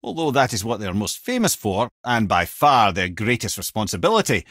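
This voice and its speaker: An actor playing a clever, wry old Scottish companion in a video game, chatty and full of tales and advice. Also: male voice, fairly low pitch, big pitch swings, normal speed, fairly bright tone, husky, dry recording, studio quality, exaggerated voice. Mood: solemn storytelling. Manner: wry, chatty, wordy storytelling. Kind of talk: video game character dialogue.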